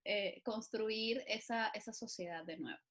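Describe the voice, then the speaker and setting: A woman's voice: medium pitch, a little slow, a bit bright. A woman from Venezuela hosting an interview podcast, encouraging and musing aloud with fillers. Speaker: podcast host.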